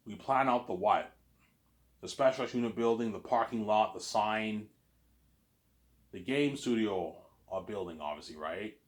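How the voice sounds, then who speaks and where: A man's voice: on the low side, animated, unhurried, long pauses. A man with a slight Canadian accent talking in a rambling casual monologue, often restarting and tagging on "obviously". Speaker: vlogger.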